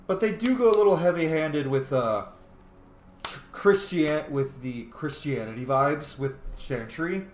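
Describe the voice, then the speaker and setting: A man giving casual, expressive, informal commentary as he plays through a game. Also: a little high, a little slow. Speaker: gamer, video host. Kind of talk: casual playthrough commentary with roleplayed replies. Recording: echoey room, faint background noise.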